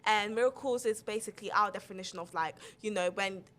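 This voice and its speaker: Young woman host, casual and animated, middle-pitched, loose run-on talk. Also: fast talker. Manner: animated, talks in long run-on stretches with many "like"s. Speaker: podcast host. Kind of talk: casual faith conversation.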